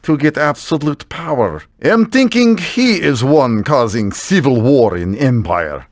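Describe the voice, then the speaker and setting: A man voicing a thoughtful foreign adventurer in a fantasy game, with halting, stilted phrasing. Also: mid-range voice, very animated, dry recording. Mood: pondering.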